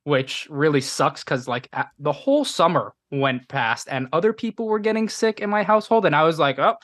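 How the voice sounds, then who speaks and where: A man's voice: a little high, fairly quick, fairly bright tone, no room sound, clean studio sound. Sniffly man with a cold, casual podcaster. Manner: rambling, laughs at himself. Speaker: podcast co-host reviewing a movie. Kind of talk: casual chat.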